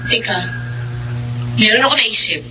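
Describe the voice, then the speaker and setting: A woman's voice: a little high, lively intonation, moderate pace, bright, forceful tone, slight background noise, rough recording. An actress playing a conspiring female confidante in a melodrama, scheming and nagging in manner. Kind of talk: Filipino radio-style melodrama acting.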